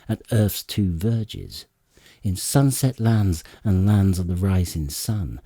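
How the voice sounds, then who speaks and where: Slow, deep, husky male narrator. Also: dark tone, dry recording, studio quality. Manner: measured, dramatic phrasing. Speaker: audiobook narrator. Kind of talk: solemn epic poetry reading.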